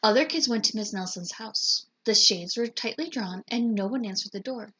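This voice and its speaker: A woman teacher reading a picture book aloud in a slow, deliberate storytelling manner. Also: medium pitch, bright, forceful tone, a little hiss or hum.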